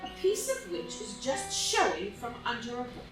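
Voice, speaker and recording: A woman's voice: a little high, sing-song, normal speed. A woman narrator reading stage directions dramatically, recorded from a distance in a very echoey room. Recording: very echoey room, distant mic, music behind.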